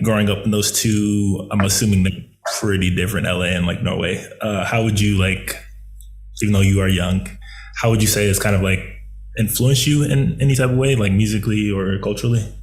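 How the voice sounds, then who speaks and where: A man's voice: fairly low pitch, steady tone, normal speed. A man interviewing a young musician, asking rambling, casual questions full of fillers.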